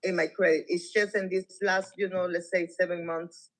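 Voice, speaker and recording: High-voiced, hesitant man from Costa Rica. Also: level tone, moderate pace, fairly bright tone. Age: 60s. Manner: repetitive, rambling, hesitant speech. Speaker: caller seeking financial help. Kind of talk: halting, informal personal account.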